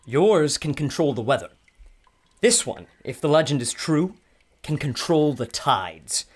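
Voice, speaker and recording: A man's voice: a little high, sing-song, unhurried, slightly rough, heavily acted. An actor playing a scholarly male archaeologist who explains things in a learned way. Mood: explaining.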